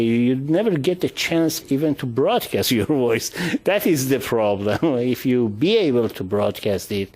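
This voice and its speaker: Halting male panel host. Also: mid-range voice, darkish tone. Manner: halting, many restarts and fillers. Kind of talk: panel discussion.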